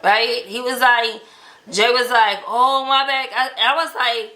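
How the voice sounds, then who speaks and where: A woman's voice: on the high side, steady tone, a little slow, bright, forceful tone. A woman telling a personal story in casual conversation, acting out quoted dialogue as she goes. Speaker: vlog host telling relationship stories. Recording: echoey untreated room.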